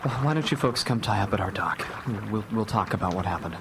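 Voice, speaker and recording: A man playing a worried, gentle father in a live radio drama, speaking earnestly. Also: lowish voice, animated, quick pace, vocal fry. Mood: relieved. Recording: noisy, humming live radio broadcast.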